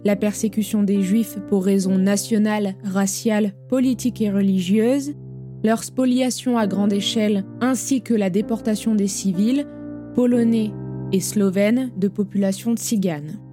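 A woman reads documentary narration in a clear, explanatory style. Her voice is mid-pitched, with music playing clearly underneath. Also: fairly even intonation, measured pace, dark tone.

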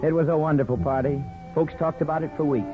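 An actor playing an earnest, devoted small-town husband in 1950s radio drama, speaking sincerely and a little haltingly. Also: male voice, a little high, steady tone, close to an everyday voice. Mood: nostalgic narration. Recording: muffled 1950s radio recording, faint music.

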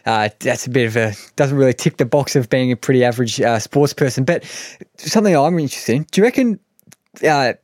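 A man's voice: medium pitch, fairly quick, a little mellow, dry recording. Man hosting, dry close sound. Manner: chatty, joking, question-asking. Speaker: podcast co-host. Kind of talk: casual podcast interview.

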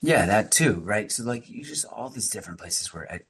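Very deep-voiced man explaining emphatically. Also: expressive, normal speed, vocal fry, breathy edge. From Massachusetts. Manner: emphatic, explanatory, fillers like "right?" and "like". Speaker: teacher and activist guest. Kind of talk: conversational podcast interview.

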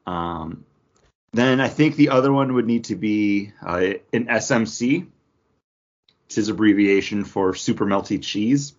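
A man's voice: lowish voice, unhurried. An American man chatting casually as a podcast co-host. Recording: dull, lo-fi audio.

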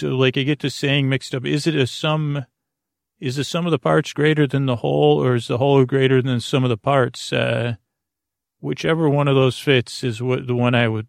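A man's voice: mid-range voice, dry recording, studio quality. A man hosting a sleep podcast in a rambling monologue, meandering and hesitant, often stumbling over his words. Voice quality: creaky. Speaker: podcast host.

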